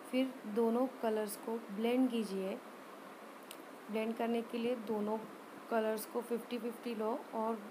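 A woman giving an informal step-by-step craft tutorial voice-over, hesitant and often pausing mid-sentence. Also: mid-range voice, noisy background. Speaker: rangoli art tutorial presenter.

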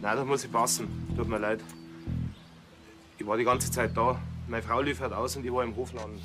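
An actor playing an evasive, gruff farmer in a TV crime comedy, offhand and curt. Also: male voice, mid-range voice, steady tone, normal speed, over music, natural voice. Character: evasive, gruff male farmer and smokehouse butcher.

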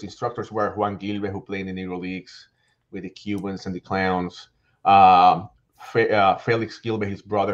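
A middle-aged man, a guest author talking on a video call in an animated way, with frequent fillers and restarts. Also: on the low side. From Puerto Rico. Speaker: guest author and baseball writer. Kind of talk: casual video call interview. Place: home room. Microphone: headset microphone on video call. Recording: slightly dull, lo-fi call audio.

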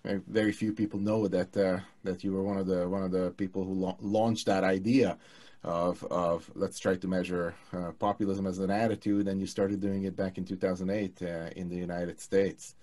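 A man, co-hosting a casual academic chat on a video call, halting with many restarts. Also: sits low, level tone, warm tone. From Hungary. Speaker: political scientist, co-host. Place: home studio room. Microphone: headset mic on video call.

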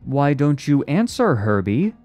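A man's voice: mid-range voice, big pitch swings, normal speed, mellow, soft background music, voice pushed up, close to an everyday voice. A man voicing a cold, caustic woman psychologist in an audiobook story, commanding and insistent. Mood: triumphant, commanding.

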